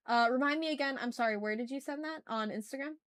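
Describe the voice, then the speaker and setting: A woman's voice: high voice, fast, a bit bright, clean tone. A woman hosting a casual art livestream, thinking aloud as she draws and chatting to viewers in informal, slangy, effusive language. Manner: thinks aloud while working. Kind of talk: casual livestream chat while drawing.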